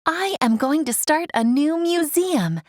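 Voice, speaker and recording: A woman acts out an eager young mermaid princess for a children's storybook. She is impulsive and dramatic, with a fairly high, animated voice and a dry sound. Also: measured pace, dry recording. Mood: agreeable.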